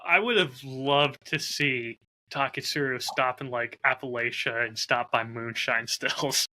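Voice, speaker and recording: A man chatting casually as a podcast co-host in a low-key, measured, slightly hedging way. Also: steady tone, sharp tone, slight background noise. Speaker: podcast co-host and audio drama creator.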